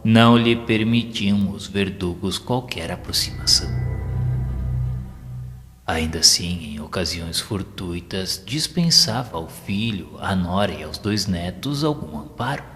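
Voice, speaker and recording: A man's voice: low voice, fairly bright tone. A man narrating an audio drama from a script in a solemn, formal, literary manner. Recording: long hall reverb. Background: background music.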